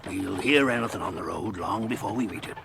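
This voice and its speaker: An actor playing a kindly but gruff old hobbit farmer in a radio drama, with a folksy, plain-spoken manner. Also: male voice, low voice, brisk, noisy background. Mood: genial, chuckling. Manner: folksy, plain-spoken, chuckling country turns of phrase.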